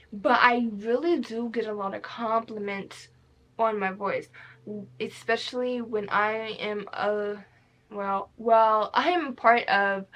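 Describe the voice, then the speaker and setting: A woman's voice: fairly high pitch, fairly bright tone. A young woman hosting her own podcast, talking in a rambling, candid way and swearing freely. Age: young adult. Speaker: podcast host. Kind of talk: casual solo podcast talk.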